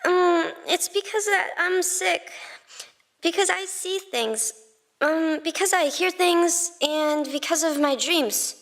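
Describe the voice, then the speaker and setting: A woman voicing a meek, nervous seven-year-old boy who speaks haltingly in a story reading. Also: extremely high pitch, fairly bright tone, full character voice. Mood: anxious, tearful. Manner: halting, timid, stammering. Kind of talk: dramatized fan fiction reading.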